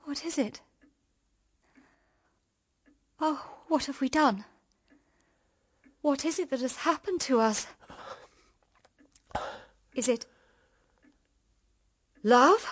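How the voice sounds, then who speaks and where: High-voiced, ardent young British woman. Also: takes it slowly, long pauses. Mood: tender, wondering. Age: young adult. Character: ardent, devoted young woman in love with brother-in-law. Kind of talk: radio drama acting.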